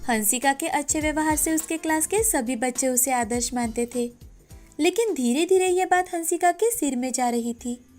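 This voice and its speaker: A woman's voice: high voice, normal speed, a little mellow, faint music behind. A woman narrator reading a scripted moral story for children.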